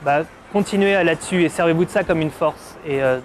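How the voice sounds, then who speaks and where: A man in his twenties from Paris giving a casual testimonial, reflective and pausing to search for words. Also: on the high side, a little hiss or hum. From Paris, France. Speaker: advertising art director being interviewed.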